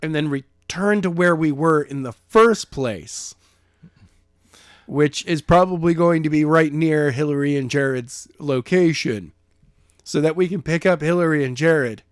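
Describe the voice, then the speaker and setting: A man's voice: fairly high pitch, unhurried, smooth, no echo. Playful young-sounding man, dry close sound. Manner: playful, acts out characters in silly voices. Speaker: podcast co-host, narrator. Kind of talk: casual chat about a book chapter.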